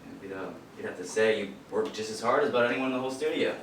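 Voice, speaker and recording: Actor as a menacing bully in an echoey theater. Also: male voice, lowish voice, a bit bright. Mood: falsely friendly. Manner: threatening, deliberate, falsely friendly before hard commands. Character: menacing male radio performer bullying a younger colleague. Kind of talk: stage play acting. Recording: echoey stage, distant mic, faint noise.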